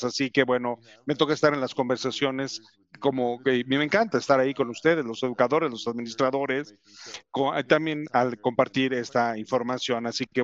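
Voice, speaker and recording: A man with an English accent and English as his first language, giving a conference presentation in a halting way, with many fillers and restarts. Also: medium pitch, highs cut off. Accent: English speaker's Spanish. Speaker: company representative presenting to educators.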